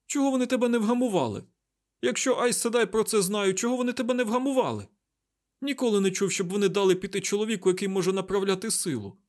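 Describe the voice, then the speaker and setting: A man's voice: fairly high pitch, lively intonation, moderate pace, darkish tone, pitched above the performer's own voice. A man voicing a panicky, hot-tempered young man in an audiobook, agitated, sputtering and exclamatory.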